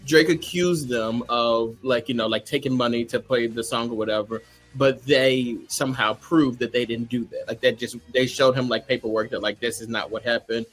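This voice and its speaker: A man's voice: mid-range voice, steady tone, moderate pace, soft background music. A man debating casually as a show panelist, circling back and rephrasing his point with lots of "like".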